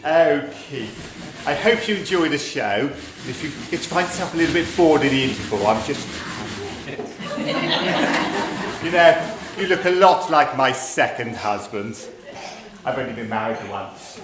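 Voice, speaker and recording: A man puts on a chatty servant dame from a stage pantomime, coy and theatrical. The sound is very echoey and distant, with clear noise behind it. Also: medium pitch, lively intonation, measured pace, pauses often, close to an everyday voice. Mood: coy, teasing. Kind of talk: comic stage patter.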